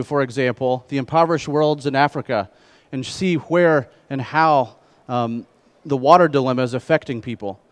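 A middle-aged man presenting a conference keynote on stage through a headset mic, speaking in measured phrases. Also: medium pitch. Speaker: keynote speaker, trade association president.

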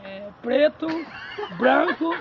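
A man's voice: very high voice, expressive, slow pace. A middle-aged Brazilian man with a Cuiabá accent, playfully boasting and spouting made-up foreign-sounding gibberish at night on a wet street, filmed on a phone. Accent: Cuiabá Portuguese. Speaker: street character being interviewed. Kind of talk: joking street chat. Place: outdoors at night on a wet street by a snack stand. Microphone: phone camera held close. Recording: muffled phone audio, noisy street with people around.

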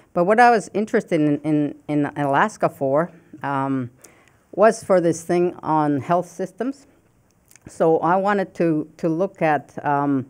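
A woman in her sixties from northern Saskatchewan whose first language is Cree, speaking as a political scientist on a panel in a halting way full of fillers and restarts, into a desk microphone on a conference stage. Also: sits low, a little mellow, clear, resonant tone. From northern Saskatchewan, Canada. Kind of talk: panel discussion remarks.

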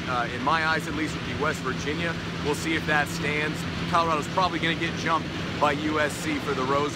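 A young man giving a casual postgame recap to camera, outdoors on a stadium field at night, with fillers between his points. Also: mid-range voice. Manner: brisk, conversational analysis with frequent fillers. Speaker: sports reporter. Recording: outdoor stadium with loud vehicle noise. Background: tractor and vehicle engine noise.

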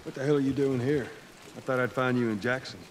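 A man's voice: mid-range voice, fast, slight background noise, close to an everyday voice. A man playing a guarded, weary middle-aged survivor with an American Southern accent in a video game drama, terse and understated. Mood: wary, wry.

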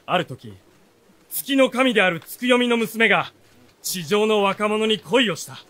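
Earnest, animated bookish teenage youth. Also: male voice, sits high, unhurried, full character voice. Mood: storytelling, lyrical. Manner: expressive, earnest storytelling. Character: earnest seventeen-year-old youth, bookish runaway storyteller. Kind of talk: radio drama narration and dialogue.

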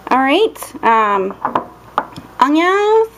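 A woman's voice: sits high, big pitch swings, extremely slow, noisy background. Bubbly woman chatting through a home recipe. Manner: bubbly, chatty, lots of ums and playful exclamations. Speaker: cooking vlogger. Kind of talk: casual cooking demonstration. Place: dim home kitchen. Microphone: camera held close, filming her hands.

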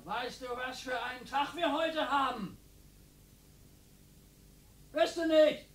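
An actor playing a bored, complaining retired husband in his sixties in a radio play, with a slightly husky, slow, whiny way of talking. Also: very high voice, fairly bright tone, faint music behind, full character voice. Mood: fretful. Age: 60s.

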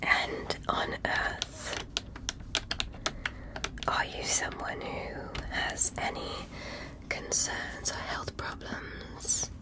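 A woman playing a curious, polite alien doctor in a gentle, methodical ASMR medical roleplay, whispering her questions. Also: very slow, pauses often.

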